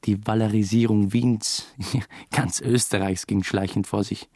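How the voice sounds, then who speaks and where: An uneasy, wry male narrator. His voice is fairly low, dark and husky. He tells his own story in a radio play with a brooding, slightly hapless air. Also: little pitch movement, measured pace, dry recording, clean studio sound. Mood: wry, resentful. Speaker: actor and first-person narrator in a radio drama. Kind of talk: scripted first-person narration and dialogue.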